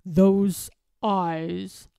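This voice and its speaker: A woman's voice: mid-range voice, flat delivery, extremely slow, gravelly, warm tone, smooth, no echo, clean studio sound. A woman voicing a bossy old lord from a storybook, scolding and commanding in an angry manner. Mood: suspicious.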